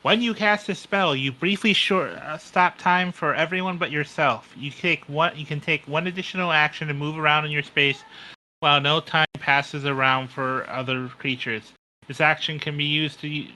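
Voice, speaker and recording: A man talking as a player in a tabletop roleplaying game, explaining his move in a halting, thinking-aloud way. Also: fairly high pitch, pushed and bright, low-quality recording.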